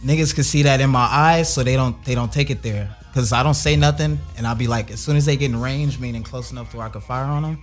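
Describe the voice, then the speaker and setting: A man talking quickly and bluntly, in laid-back slang. He is a performance coach joining a radio talk show as a guest. His pitch sits in the middle range. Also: faint music behind. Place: radio studio.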